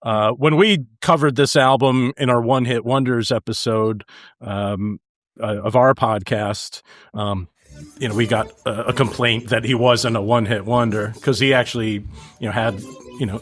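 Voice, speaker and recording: A man co-hosting a podcast in casual chat, with hesitant, halting phrasing. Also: mid-range voice, steady tone, a bit bright. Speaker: podcast co-host.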